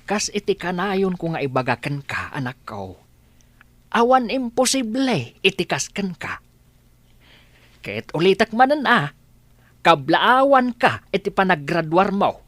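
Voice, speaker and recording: A woman's voice: fairly low pitch, very animated, measured pace, a bit bright, no room sound, full character voice. A woman with an Ilocano accent playing a proud, tender parent in a radio drama. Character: proud, tender parent congratulating a grown child.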